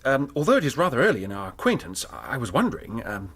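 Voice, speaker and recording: An actor playing an earnest, awkward young English student in a radio drama, polite and hesitant in manner. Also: male voice, mid-range voice, huge pitch swings, quick pace, a bit bright, husky, exaggerated voice. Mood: shy, hesitant. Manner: hesitant, apologetic, polite, well-spoken.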